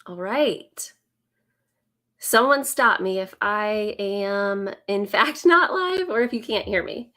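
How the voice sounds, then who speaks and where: A woman in her thirties, raspy from a summer cold, talking slowly and warmly to viewers on a live stream as a business coach, with many ums. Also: medium pitch, lively intonation. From Indiana, US. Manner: slow, friendly, many ums.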